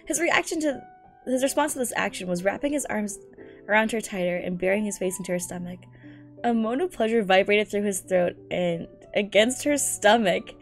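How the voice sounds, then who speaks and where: A woman's voice: mid-range voice, moderate pace, soft background music. A young woman, a non-native English speaker, reading aloud and commenting casually as a streamer, slangy, profane, giggly and exasperated. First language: not English. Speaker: streamer reacting to fan fiction.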